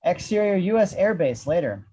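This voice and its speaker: A man's voice: sits high, very animated, normal speed, dark tone, breathy edge. A man reading screenplay action descriptions with a wry manner, on a dull lo-fi microphone. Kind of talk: scripted screenplay narration.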